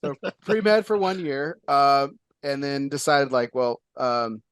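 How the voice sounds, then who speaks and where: A man hosting a casual interview show, talking in an excitable, rambling way with lots of fillers and restarts. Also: mid-range voice, takes it slowly, smooth, dry recording. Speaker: podcast host.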